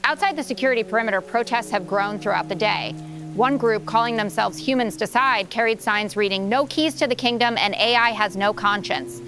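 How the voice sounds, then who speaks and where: A woman playing a serious television field reporter in an audio drama, speaking in a measured, formal news delivery. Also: fairly high pitch, natural voice.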